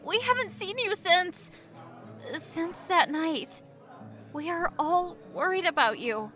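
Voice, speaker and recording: A worried, plain-spoken woman friend in an audio drama. Her voice is high and very bright, with music clearly behind it. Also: animated, slow pace, exaggerated voice. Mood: concerned. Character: concerned, frank woman friend. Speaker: voice actor.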